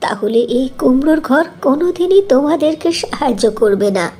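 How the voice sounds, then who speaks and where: A woman voicing a kindly, selfless old guardian woman in a fairy tale cartoon, speaking in a gentle, instructive way. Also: high voice, animated, a little fast. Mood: kindly, solemn.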